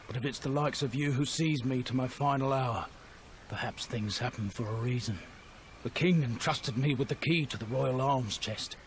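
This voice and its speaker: A man's voice: medium pitch, moderate pace, slight background noise, close to an everyday voice. An actor playing a wounded male soldier in a video game, weary and regretful, resigned to his death. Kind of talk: video game cutscene acting.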